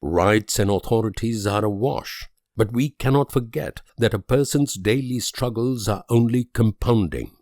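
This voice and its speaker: An actor playing an idealistic, world-weary diplomat, delivering grave, deliberate speeches and letters in a strategy game. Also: male voice, lowish voice, darkish tone, no room sound, clean studio sound, lightly acted. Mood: solemn appeal.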